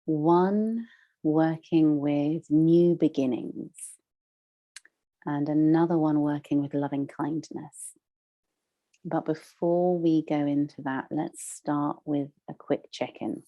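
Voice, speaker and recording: A woman leading a guided meditation as a teacher, soothing and measured, with long pauses between phrases. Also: low voice, steady tone, highs cut off.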